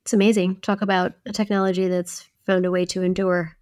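A woman's voice: lowish voice, level tone, fairly quick, a little mellow. A woman co-hosting a podcast, asking conversational questions in an inquisitive way and seeking plain explanations. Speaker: podcast co-host. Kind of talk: conversational interviewing.

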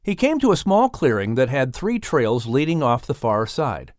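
A male audiobook narrator with a mid-pitched voice tells a children's story. His delivery is animated and dramatic, with careful phrasing and a dry studio sound. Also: moderate pace, dry recording. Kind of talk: expressive scripted storybook narration.

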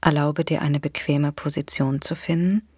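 A woman leading a guided imagery relaxation exercise in a soothing, gentle, unhurried way with long pauses. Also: low-pitched, steady tone, husky, dry recording, studio quality. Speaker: guided meditation instructor.

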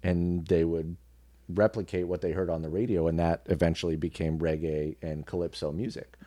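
Male podcast guest, very low pitch, dry sound, reflective and halting casual delivery. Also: flat delivery, warm tone, no echo. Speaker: podcast guest, radio personality and actor. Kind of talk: casual conversational interview.

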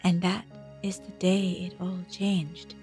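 Slow, hushed, wistful woman diver. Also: lowish voice, little pitch movement, over music. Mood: hushed, uneasy. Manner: measured, hushed, reflective storytelling. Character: wistful Japanese ama diver woman recounting her haunting underwater memories. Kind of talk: intimate narrated audio drama monologue.

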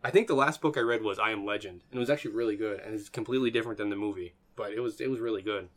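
A man's voice: lowish voice, rapid-fire, fairly bright tone. A man co-hosting a podcast in casual, joking banter with friends, swearing freely. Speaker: podcast co-host.

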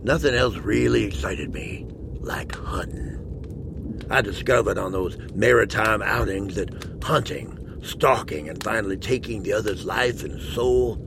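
An actor playing a worldly, obsessive big-game hunter in a western audio drama, telling his tale in a slow, brooding, hushed manner with a gravelly voice. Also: fairly low pitch, noisy background. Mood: ominous, brooding. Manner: slow, brooding storyteller with hushed, breathy emphasis.

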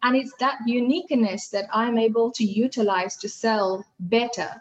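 A woman's voice: fairly high pitch, fairly even intonation, moderate pace, lo-fi sound. A middle-aged woman from Fiji, whose first language is Hindi, chatting animatedly as a podcast guest with many fillers and restarts. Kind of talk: casual interview chat.

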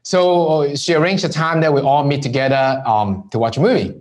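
Hong Kong-raised male entrepreneur guest, chatty storyteller, keeps asking "right?", mid-pitched voice. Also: a little fast. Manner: relaxed storytelling with frequent "right?" tags. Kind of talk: conversational interview.

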